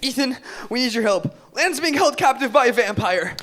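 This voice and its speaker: A man playing a frantic, shrill teenage boy. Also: expressive, normal speed. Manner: urgent, shouting pleas. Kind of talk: live table read.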